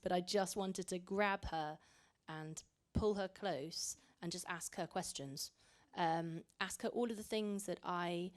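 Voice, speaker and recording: A young woman raised in Italy, an author speaking reflectively at a library panel, often repeating words as she thinks. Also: fairly low pitch. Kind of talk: panel talk. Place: library event room.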